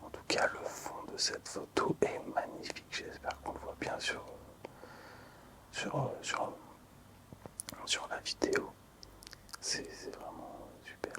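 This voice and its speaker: A man speaks in a constant whisper, in a soft ASMR style. His delivery is unhurried and hesitant, with pauses between short phrases, like a creator quietly presenting things to his viewers. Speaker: video creator showing collectible cards.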